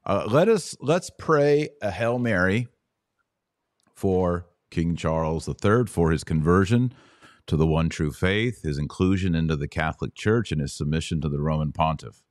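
Deep-voiced male podcast host. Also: expressive, moderate pace, dry recording, clean studio sound. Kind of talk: conversational interview.

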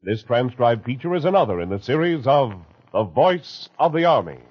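A man's voice: medium pitch, sing-song, normal speed, dull audio. A man announcing in scripted 1940s radio style on an old broadcast.

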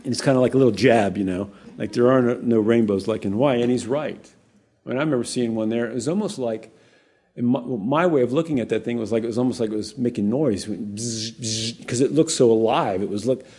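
Husky, mellow-voiced man teaching scripture. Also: medium pitch, fairly quick. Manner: casual and animated, with frequent restarts and asides to the congregation. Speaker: pastor. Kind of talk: conversational verse-by-verse Bible teaching.

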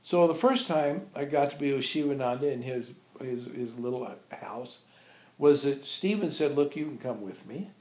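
A man informally reminiscing to visitors as a spiritual teacher, telling animated anecdotes and acting out remembered dialogue. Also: medium pitch, normal speed. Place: echoey indoor room at an ashram. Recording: roomy, echoey sound.

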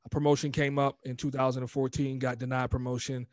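A middle-aged American man telling personal stories casually in an interview, animated and informal, acting out quoted speech. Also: mid-range voice, fast talker, no echo. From United States, raised in Virginia. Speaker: podcast guest, motivational coach.

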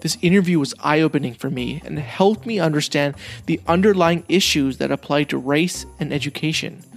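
A man's voice: on the high side, moderate pace, gravelly, faint music behind. A man hosting an interview podcast, introducing his guest and asking questions.

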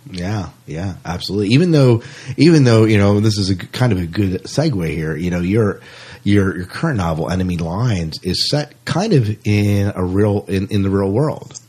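Male podcast host, deep husky voice, casual and rambling, restarts often. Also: animated, moderate pace, a little mellow. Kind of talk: casual interview chat.

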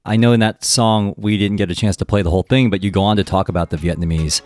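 A man hosts an interview in a very deep voice, asking friendly, curious questions. His talk is conversational and easygoing. The sound is dry and close to the mic. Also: steady tone, fast talker, darkish tone, no echo. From United States. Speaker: podcast host. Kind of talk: interview hosting.

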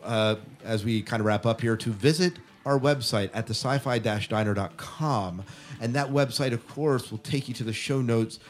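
A man hosting a podcast in a chatty, informal, casual way. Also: fairly low pitch. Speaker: podcast host and interviewer.

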